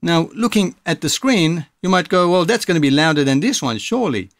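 A man's voice: a little high, animated, a little fast, dry recording, studio quality. A man presenting a music production tutorial in a casual, explanatory, informal way. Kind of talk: casual software tutorial narration.

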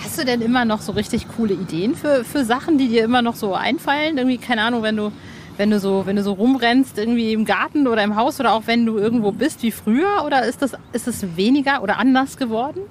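A woman's voice: on the high side, fast talker. Enthusiastic woman podcast host, noisy outdoor setting. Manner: informal, enthusiastic. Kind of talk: casual, chatty podcast talk. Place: outdoor roof terrace. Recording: clear background noise, outdoor construction site. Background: construction and city noise.

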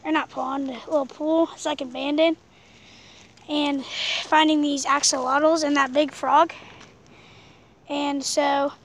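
A woman with a high, gravelly voice films an outdoor vlog on a handheld phone beside a pool. She talks loosely, full of fillers, and drops to a hush while she searches the water. Faint outdoor noise sits behind her. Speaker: vlogger. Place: outdoors by an abandoned pool on rural land. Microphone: handheld camera phone filming the water.

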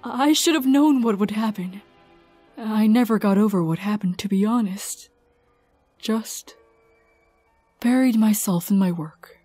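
A woman voicing a grief-worn, sardonic scientist in a fan audio drama, halting, wry and guarded. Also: mid-range voice, dark tone, slightly rough, no echo, soft background music. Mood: bitter regret.

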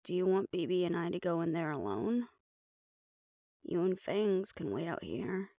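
A woman's voice: on the low side, lively intonation, normal speed, mellow, no echo, clean studio sound. A woman playing a tough, stubborn female hunter with a wry, defiant, casual manner in an audio roleplay.

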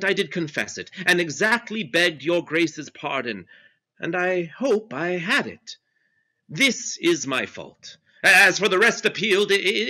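A man's voice: high voice, pushed and bright, heavily acted. A man voicing a proud, wronged nobleman in a Shakespeare reading over a video call, with impassioned, defiant verse delivery. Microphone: video call webcam.

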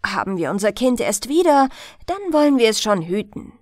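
Storybook wife read by a woman: sly and bossy, brisk, animated intonation. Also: fairly high pitch, dry recording, studio quality. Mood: sly, scheming. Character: scheming, bossy woodcutter's wife.

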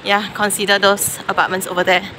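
A woman's voice: medium pitch, level tone, fairly quick, a crowd in the background, rough recording. A woman chatting casually to viewers while walking outdoors, laughing easily. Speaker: vlogger. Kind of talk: casual travel vlog narration. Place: outdoors on a windy city harbourfront. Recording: loud wind and street noise.

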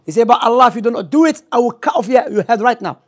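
A man's voice: very high-pitched, animated, fast talker. A young man giving an informal Islamic lesson, telling stories and asking quiz questions in non-native English sprinkled with Arabic terms. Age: young adult. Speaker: religious teacher. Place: small indoor library room. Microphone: gooseneck microphone close to the mouth.

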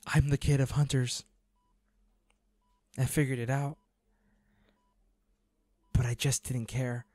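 Devoted young male hunter played by a man, audio drama: soft mellow tone, very unhurried, emotional and pleading, dry recording. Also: mid-range voice, no room sound, close to an everyday voice. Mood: reassuring. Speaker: audio roleplay voice actor. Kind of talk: intimate dramatic monologue to an injured lover.

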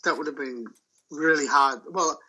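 A man talking about his sailing voyage as an interview guest, telling it in a halting, loose way. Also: on the high side, noisy background. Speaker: solo sailor guest. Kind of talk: casual interview chat.

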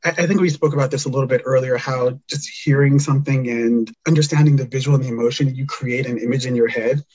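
A man's voice: mid-range voice, fairly even intonation, fast talker, a little mellow, a little hiss or hum. A man talking casually and reflectively as a guest in an interview, explaining his creative work. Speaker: podcast guest, writer and producer. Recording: slightly dull, lo-fi audio.

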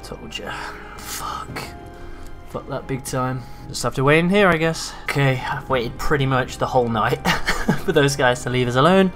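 A man giving casual gameplay commentary, his voice a little raspy from hours of talking, excitable and swearing freely. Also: mid-range voice, moderate pace, soft background music. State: slightly raspy from long talking. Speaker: gaming YouTuber.

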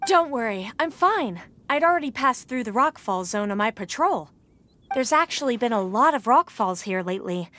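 A woman playing a dutiful, concerned young female knight on patrol in a video game, speaking briskly. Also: fairly high pitch, a bit bright.

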